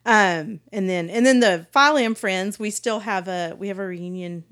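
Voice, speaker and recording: A woman's voice: mid-range voice, normal speed, a bit bright. A middle-aged woman, casually telling her life story as a podcast guest. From East Texas, United States.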